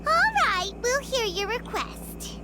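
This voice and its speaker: A woman voicing a tiny childlike floating companion in an anime game, squeaky, chirpy and wary. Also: very animated, takes it slowly, very noisy, exaggerated voice. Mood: hesitant, questioning. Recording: loud game music behind.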